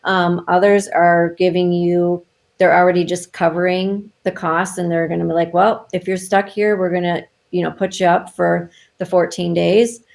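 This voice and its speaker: A woman working as a travel advisor, chatting casually in an interview over a video call, with lots of fillers and quick laughs. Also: fairly low pitch, flat delivery, gravelly, a little mellow.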